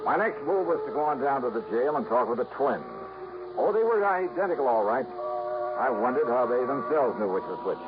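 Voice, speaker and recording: An American actor playing a brisk, wry, persistent insurance investigator in 1950s radio detective drama style. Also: male voice, mid-range voice, sing-song, over music, lightly acted. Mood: wry narrating. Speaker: lead actor. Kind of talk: 1950s radio detective drama acting, first-person narration. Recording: muffled old radio recording, clear background noise.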